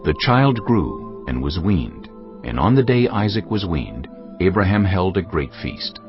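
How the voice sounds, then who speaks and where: Very deep, expressive male scripture narrator. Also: unhurried. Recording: dull audio under clear music.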